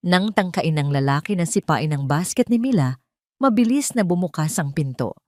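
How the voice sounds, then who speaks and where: A woman's voice: sits low, lively intonation, moderate pace, a little mellow, no room sound, clean studio sound. A woman narrating a scripted story in a dramatic storytelling style.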